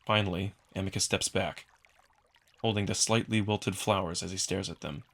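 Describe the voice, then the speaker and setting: A man's voice: low voice, steady tone, moderate pace, fairly bright tone. A man narrating a visual novel in the first person with scripted, dramatic delivery. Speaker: narrator and voice performer.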